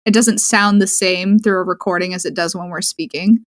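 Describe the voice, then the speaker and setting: A woman co-hosting a podcast, chatting casually in a calm, soothing, easygoing way. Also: fairly low pitch, moderate pace. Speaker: podcast co-host.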